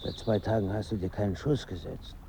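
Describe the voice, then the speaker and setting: An actor in a German radio drama playing a confused, fussy middle-class intellectual, with a pedantic, hesitant and formal manner. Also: male voice, lowish voice, fairly even intonation, fairly quick, creaky. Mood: prim, disapproving. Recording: dull sound with faint ambient noise.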